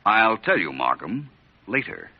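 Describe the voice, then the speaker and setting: An actor playing a cool, shrewd private detective in an old-time radio drama, speaking in a wry, measured, confident way. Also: male voice, lowish voice, sing-song, vocal fry, faint music behind, exaggerated voice. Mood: explaining. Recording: muffled vintage radio recording.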